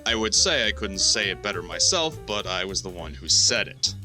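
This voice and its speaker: A man playing a gruff, blunt chief engineer and former boxer in an audio drama. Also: sits low, big pitch swings, moderate pace, over music, rough recording. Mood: firm.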